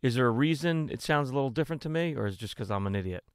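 A man's voice: mid-range voice, expressive, racing, clear, resonant tone. A man hosting a podcast on a video call, asking questions in a rambling, jokey way with many restarts. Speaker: podcast host. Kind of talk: casual interview chat on a video call. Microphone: desk microphone on a video call.

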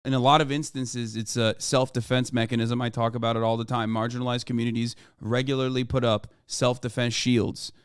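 A man's voice: medium pitch, level tone, a little fast, few pauses, clean tone, no echo. A man in his thirties giving emphatic, profane, lecturing political commentary on a livestream into a close desk microphone. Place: small room at home, indoors.

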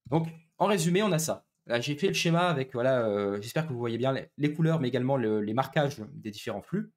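A man's voice: mid-range voice, expressive, a little fast. A man gives an informal technical presentation over a video call on a headset, explaining step by step with frequent hesitations. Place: small room indoors, video call.

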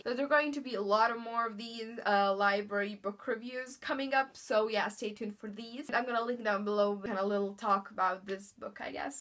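Chatty young female book vlogger, Bosnian accent, slightly high voice, filler-heavy casual talk to camera at home. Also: a bit bright. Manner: chatty, upbeat, many fillers and "really". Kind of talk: casual chat. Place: indoor room in front of bookshelves. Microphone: camera in front of her.

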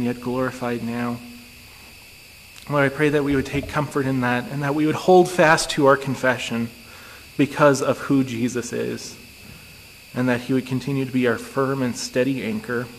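A man leading an earnest, steady congregational prayer during a church service. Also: medium pitch, moderate pace. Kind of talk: pastoral prayer. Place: church sanctuary. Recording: long church reverb, faint noise.

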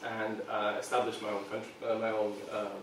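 A man's voice: fairly low pitch, completely flat delivery, brisk, heavy reverb, a little hiss or hum. A man in his thirties from Serbia giving an earnest talk to a small audience, hesitating often with ums, recorded by a far camera in a meeting room. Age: 30s. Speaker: product designer giving a talk. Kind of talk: public presentation.

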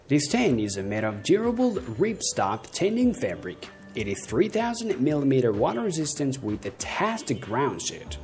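Young male reviewer reading a script over soft music, lively intonation, odd stress. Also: a little high. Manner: reads a script with uneven stress and slips. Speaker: product review narrator.

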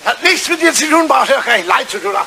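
An irascible old English aristocrat in a radio drama. He sputters and repeats himself in a high-pitched voice that swings wildly up and down. The old recording is clearly noisy, with clicks. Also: expressive, fast talker, sharp tone, exaggerated voice. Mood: furious. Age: older. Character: irascible, self-pitying aging English lord, jilted suitor. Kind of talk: radio play acting.

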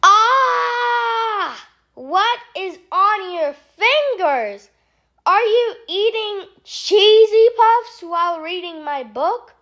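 A woman's voice: very high voice, expressive, very slow, pushed and bright, voice pushed up, heavily acted. A woman voicing a fussy, tidy frog who is dramatic and easily horrified, in a theatrical children's storybook read-aloud. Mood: horrified, scolding. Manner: theatrical, exclaiming.

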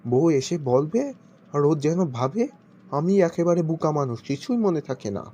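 A man's voice: a little high, lively intonation, moderate pace, mellow. A man playing an aggrieved, complaining younger man in a cartoon joke skit. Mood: fretful resolve.

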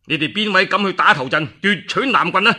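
Man acting a proud, forceful Cantonese-speaking commander. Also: high voice, a little fast, pitched above the performer's own voice, full character voice. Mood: smug, commanding. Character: proud, boastful young male commander, laughing confidence.

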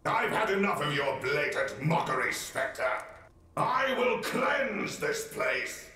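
An actor playing a haughty, wrathful undead knight lord in a video game, pompous and archaic, with an echoing spirit effect. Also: male voice, high voice, very animated, slow, pushed and bright, exaggerated voice. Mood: imperious, angry. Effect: echoing spirit reverb. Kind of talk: video game character acting. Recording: strong echo, distant sound.

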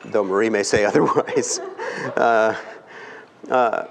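Low-voiced man lecturing in a hall. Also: vocal fry, slight background noise. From California. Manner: earnest, emphatic, with pauses and fillers. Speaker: guest speaker. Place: large dim lecture hall. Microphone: far camera. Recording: long hall reverb.

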